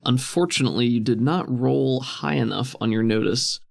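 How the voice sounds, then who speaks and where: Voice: mid-range voice, normal speed, gravelly. A game master narrating a tabletop role-playing game in a casual way and bantering with the players.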